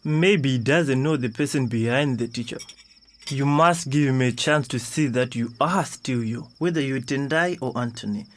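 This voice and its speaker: A supportive male friend in a radio play, acted by a man, steady and sincere. He has a Zimbabwean accent and a medium pitch, with faint noise behind. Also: moderate pace, lightly acted. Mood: encouraging. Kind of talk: radio drama acting.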